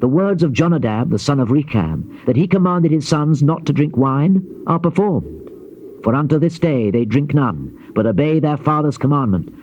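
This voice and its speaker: An actor as a solemn prophet, sweeping intonation. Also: male voice, a little high, expressive, a little mellow, close to an everyday voice. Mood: stern, solemn. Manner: declamatory, measured, grave. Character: solemn male Old Testament prophet recounting God's warnings. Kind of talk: dramatized King James scripture reading. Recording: dull noisy old cassette tape.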